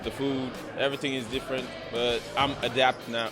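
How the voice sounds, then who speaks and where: A man's voice: medium pitch, level tone. A teenage boy with a Senegalese accent, a basketball recruit, giving interview answers in simple phrasing. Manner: simple non-native phrasing, measured. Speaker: high school basketball recruit. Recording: loud music underneath.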